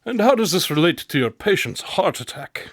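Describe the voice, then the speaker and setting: An actor playing a confident, inquisitive detective in a radio drama, probing with clipped questions and slight amusement. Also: male voice, on the high side, very animated, normal speed, no echo, studio quality. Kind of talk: modern radio drama acting.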